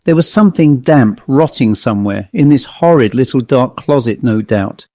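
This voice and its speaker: A man's voice: mid-range voice, expressive, normal speed, dark tone, no echo. Man narrating a ghost story, dry sound. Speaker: audiobook narrator.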